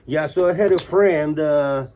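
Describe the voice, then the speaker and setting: A man's voice: on the high side, a little slow, a little mellow, slight background noise. A middle-aged man from Moscow with a Russian accent, telling stories casually and informally as an interview guest. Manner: informal, tells anecdotes with quoted dialogue. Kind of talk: casual conversational storytelling.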